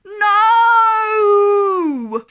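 A woman's voice: very high-pitched, sing-song, drawn-out words, a bit bright, pitched above the performer's own voice, heavily acted. A woman voicing a bossy, easily alarmed storybook nanny who exclaims and scolds. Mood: alarmed, scolding.

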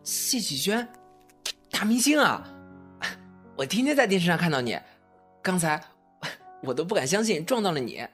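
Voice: medium pitch, sing-song, normal speed, pauses often, fairly bright tone, soft background music. A performer in a Mandarin audio drama playing an earnest, shy young male rookie singer who is polite and deferential. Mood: flustered, awed.

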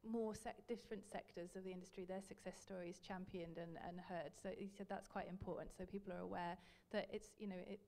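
A young woman moderating a panel discussion, asking questions in a friendly, quick manner. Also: lowish voice, steady tone, gravelly, dark tone. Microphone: handheld mic.

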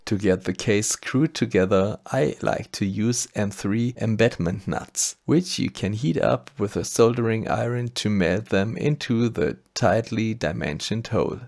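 A man's voice: lowish voice, a little slow, creaky, few pauses, darkish tone. A man with a German accent narrating a scripted electronics tutorial step by step. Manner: methodical step-by-step explaining. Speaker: maker channel host.

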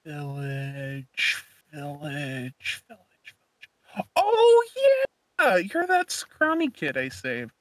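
A woman's voice: sits low, sing-song, very slow, no room sound, clean studio sound, exaggerated voice. A woman playing an easygoing, offhand dragon slayer mentor in a game roleplay, musing casually. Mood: breezy, amused. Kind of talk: amateur roleplay acting in a game video.

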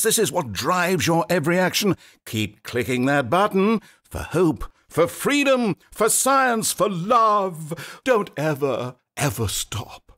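A man's voice: on the high side, a bit bright, full character voice. A man voicing a sarcastic, theatrical narrator in a video game, swinging between dry wit and grand rapture. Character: wry, theatrical male narrator of a game, adult.

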